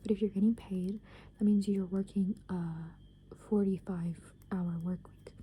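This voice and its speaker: A young woman in an unhurried ASMR ramble, her voice almost all breathy whisper with barely any tone. The sound is deeply mellow and dark, right up against the microphone. Her talk meanders with plenty of fillers. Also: little pitch movement, a little hiss or hum. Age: young adult. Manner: soft unvoiced whisper, rambling with many fillers. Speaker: ASMR creator.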